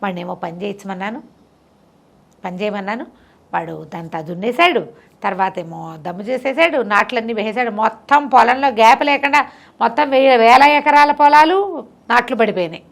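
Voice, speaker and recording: A woman's voice: fairly high pitch, normal speed, creaky. A woman telling a moral story conversationally, with an instructive manner and words repeated for emphasis.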